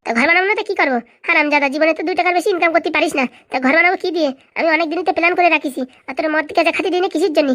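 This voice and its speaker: A woman voicing a quarrelsome, sharp-tongued cartoon wife, scolding indignantly in comedy dialogue. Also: very high voice, fairly even intonation, rapid-fire.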